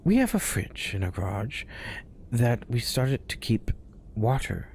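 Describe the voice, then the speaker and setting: A man telling spooky stories slowly and softly, often sinking into a whisper. His pitch swings up and down a lot, and a faint background hiss sits under his voice. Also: sing-song. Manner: hushed, often dropping to a whisper. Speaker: storyteller host. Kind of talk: reading spooky stories aloud.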